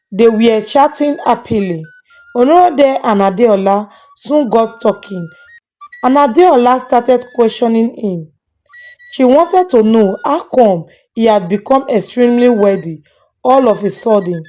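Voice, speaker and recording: A woman narrates a scripted moral folktale. Her voice sits fairly high, with music playing quietly behind her. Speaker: storyteller.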